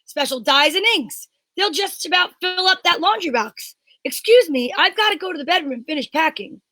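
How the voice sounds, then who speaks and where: A woman's voice: very high-pitched, lively intonation, brisk, bright, forceful tone, no echo, heavily acted. A woman playing a jittery, irritable older father in an amateur radio drama, fussy and stammering.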